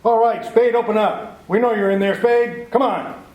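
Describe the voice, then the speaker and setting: An actor playing a blunt, hostile male police lieutenant in a 1940s radio drama, gruff and brusque. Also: high voice, fairly even intonation, normal speed, darkish tone, slight background noise, natural voice. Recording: roomy 1940s radio broadcast.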